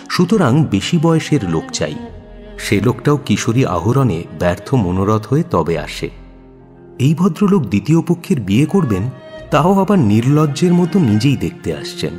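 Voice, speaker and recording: A man's voice: medium pitch, a little mellow. A man narrating a scripted audio story expressively, in the role of narrator.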